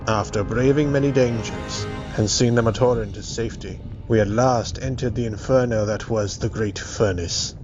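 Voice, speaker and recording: A man as a solemn narrator over loud music. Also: fairly low pitch, normal speed, few pauses, lo-fi sound, natural voice. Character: solemn heroic storyteller recalling battles. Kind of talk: scripted dramatic narration.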